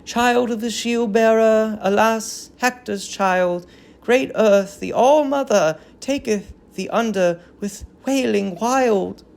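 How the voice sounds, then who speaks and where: Woman as a slow, wailing tragic chorus woman. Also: fairly high pitch, creaky, a little mellow. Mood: mournful. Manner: chanting, lamenting verse delivery. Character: chorus of wailing captive women, devoted, mournful. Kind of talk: dramatic reading of Greek tragedy.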